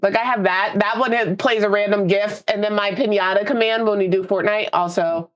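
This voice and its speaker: A woman's voice: medium pitch, fairly even intonation, fairly quick. Young woman streamer with a stuffy, slightly nasal voice. Chatty and rambling, laughs easily, casual live chat. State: congested, a little nasal.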